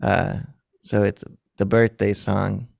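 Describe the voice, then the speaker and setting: Gravelly, rambling man in his thirties. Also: lowish voice, little pitch movement, slow pace, a little mellow, no room sound, studio quality. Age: 30s. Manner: rambling, self-deprecating, laughs often. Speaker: musician guest. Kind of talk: casual chat.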